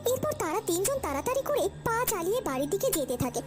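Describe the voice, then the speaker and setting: A woman narrator with an extremely high, lively voice delivers scripted cartoon horror storytelling. Loud music plays behind her. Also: fast.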